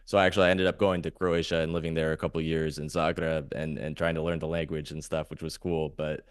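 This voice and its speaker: A man's voice: extremely low pitch, level tone, fast talker, clean tone, no echo, clean studio sound. A man hosting a podcast, asking questions in an upbeat, chatty way. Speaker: podcast host. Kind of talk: casual interview.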